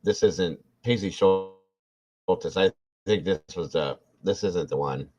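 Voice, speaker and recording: A man's voice: deep voice, takes it slowly, darkish tone. A man in his forties chatting casually on a livestream, reading out viewer comments and musing aloud. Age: 40s. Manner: reads out chat, chatty and musing. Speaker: livestream co-host. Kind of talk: casual live chat. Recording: dull lo-fi audio.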